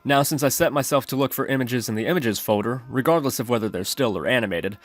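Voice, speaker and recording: A man's voice: medium pitch, fast. A man narrating a step-by-step screencast tutorial, explaining his reasoning as he goes. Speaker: programming tutorial host.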